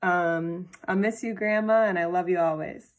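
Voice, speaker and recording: A woman's voice: on the low side, fairly even intonation, moderate pace. A woman from Minnesota fondly telling family stories in a recorded tribute. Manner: warm, fond storytelling. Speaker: family member sharing memories.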